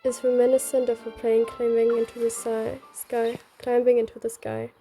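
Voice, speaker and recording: A woman's voice: on the high side, flat delivery, moderate pace, dark tone. A woman reading a scripted story aloud as narrator, also taking the heroine's lines. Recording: background music.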